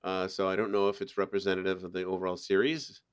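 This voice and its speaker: Low-pitched man hosting a chatty podcast. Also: level tone, quick pace, clear, resonant tone, lo-fi sound. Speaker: podcast host. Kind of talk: casual panel discussion.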